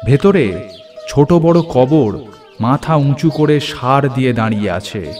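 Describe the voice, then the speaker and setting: A man narrates a scripted first-person horror story in a measured, descriptive storytelling manner. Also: mid-range voice, a little mellow. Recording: music under the voice.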